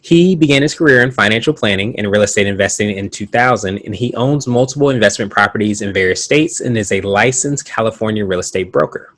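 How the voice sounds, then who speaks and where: Male podcast host, upbeat, enthusiastic, lowish voice, dry sound. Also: fast, hardly any pauses, fairly bright tone, no echo. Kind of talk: podcast interview.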